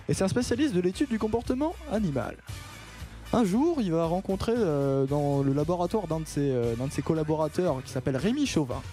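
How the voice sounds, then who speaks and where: A young man talks on a small student radio show with a mellow, soft tone. He rambles through his segment, restarting sentences and filling gaps. Faint music sits behind him. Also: high voice. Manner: rambling, many restarts and fillers. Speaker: radio show host and columnist. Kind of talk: casual student radio chat.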